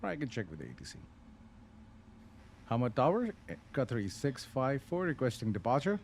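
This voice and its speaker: A man casually talking through a live flight simulator session, relaxed and unhurried, thinking aloud with pauses. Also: medium pitch, gravelly, a little hiss or hum. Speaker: flight sim streamer. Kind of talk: casual live-stream commentary.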